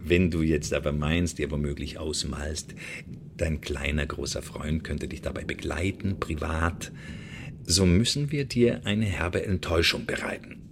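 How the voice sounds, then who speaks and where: An actor in a radio play voicing a smug French spymaster who blackmails with mock friendliness and taunting, theatrical emphasis. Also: male voice, very deep voice, unhurried, vocal fry, a little hiss or hum, full character voice. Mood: smug menace.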